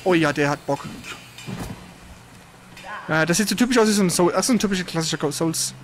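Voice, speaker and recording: A man giving casual, slangy let's play commentary while he plays, reacting out loud to the game. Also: high voice, brisk, background noise. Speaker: let's player.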